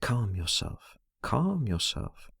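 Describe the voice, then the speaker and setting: A man reading a novel aloud, voicing a reserved, sceptical gentleman who murmurs softly. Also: on the high side, expressive, moderate pace, creaky, husky, dry recording, studio quality, voice pushed up, exaggerated voice. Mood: hushed. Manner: murmuring, hushed. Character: reserved, sceptical middle-aged man, quietly soothing.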